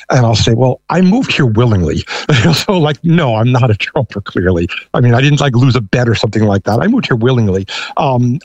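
A man's voice: medium pitch, a little mellow, dry recording. A middle-aged or older man from New York with a thick New York accent, talking politics conversationally as a panel guest. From United States, New York.

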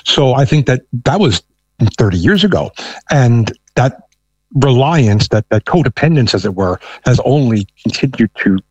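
A man's voice: mid-range voice, big pitch swings, normal speed, a little mellow, slightly rough, dry recording, muffled recording. Man with a thick New York accent. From United States, New York. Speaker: panel guest. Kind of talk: conversational political discussion.

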